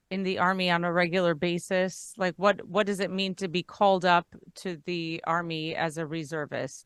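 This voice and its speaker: A woman cantorial soloist moderating an interview and introducing guests warmly. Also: lowish voice, clear, resonant tone, no echo, studio quality.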